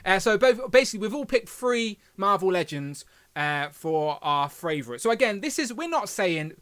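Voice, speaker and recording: Host, male, lilt, slight lisp, high-pitched rambling chatter, dry close sound. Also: big pitch swings, moderate pace, a bit bright, clear, resonant tone, no echo. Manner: chatty, rambling, self-deprecating. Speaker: live stream host. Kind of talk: casual live stream chat.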